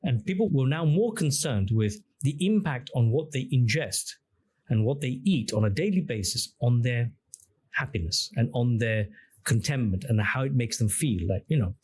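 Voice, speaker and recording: A middle-aged man with Greek as his first language speaks as an interview guest, expansive and fond of asking his host questions to make a point. His voice has a husky edge, and his pitch sits in a plain middle range. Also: animated, moderate pace, a little mellow. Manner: expansive, explains with questions and examples. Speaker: podcast guest, engineer and app founder. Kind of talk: conversational interview.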